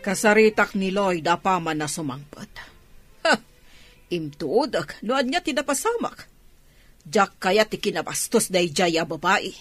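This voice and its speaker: A woman's voice: mid-range voice, expressive, unhurried, faint music behind. A woman with a Filipino accent playing a haughty, scornful rich mother who snaps insults in a radio drama. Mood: offended. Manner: scornful, snapping.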